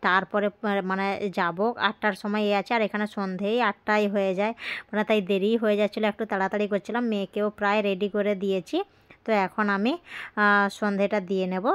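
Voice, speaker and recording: Young woman, smooth clear voice, mid pitch, casual vlog chat, rambling. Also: little pitch movement, quick pace. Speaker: vlogger.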